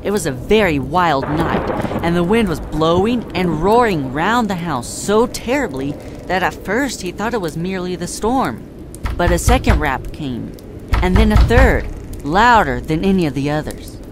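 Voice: medium pitch, animated, moderate pace. A narrator reading a scripted classic story in storybook style. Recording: clear background noise.

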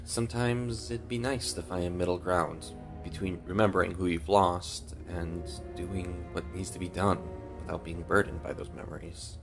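An earnest rebel officer, played by a man, courteous and reflective, speaking haltingly in a science fiction audio drama. The voice is low with a creaky edge, over soft music. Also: fairly even intonation. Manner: hesitant, halting. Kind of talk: sci-fi audio drama acting.

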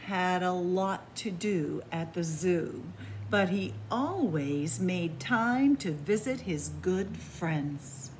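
A woman reading a picture book aloud expressively, with deliberate phrasing and dramatic pauses. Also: on the low side, expressive, darkish tone, a little hiss or hum, rough recording. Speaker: librarian reading picture books aloud to children. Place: indoors in a library room with bookshelves.

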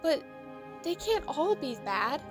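A woman's voice: high-pitched, sing-song, slow pace, music in the background, heavily acted. A woman playing an earnest, anxious young female dragon in an audio drama, speaking with eager urgency. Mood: curious.

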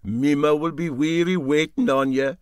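A man voicing a sly, teasing old boat skipper with a Scottish Highland accent and a drawn-out, mock-sympathetic manner in a storybook reading. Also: steady tone, clean tone, no room sound, studio quality, lightly acted. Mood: sly mock sympathy.

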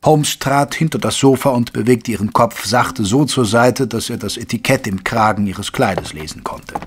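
An actor playing a caring gentleman physician who narrates a German audio drama in a measured, literary way. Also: medium pitch, slight background noise, close to an everyday voice. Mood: measured narrating.

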